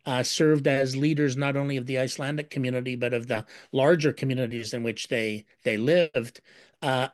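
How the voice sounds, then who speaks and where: A man explaining history in an interview answer, measured and full of fillers and repeated words. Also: medium pitch, dry recording, clean studio sound.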